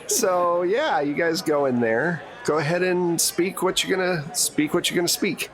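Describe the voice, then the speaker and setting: A man's voice: high voice, animated, normal speed, rough recording. A man working as game master, narrating a tabletop roleplaying game in an improvised, conversational way.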